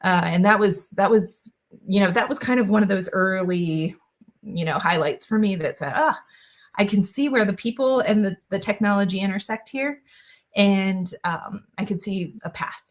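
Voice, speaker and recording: A woman's voice: medium pitch, moderate pace. A woman guest on an interview podcast, answering in a chatty way with many fillers and restarts. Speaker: guest, engineer.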